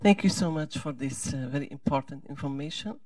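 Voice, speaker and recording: A man's voice: on the high side, brisk, a little mellow, no room sound, recorded from a distance. A man from Syria asking an urgent, pleading question from the audience in non-native English.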